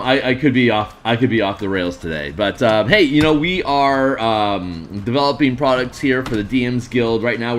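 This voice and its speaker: A man with a tired, gruff voice streaming casually, rambling through tabletop game design with lots of fillers. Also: mid-range voice. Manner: rambling, many fillers and restarts. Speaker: streamer and map artist.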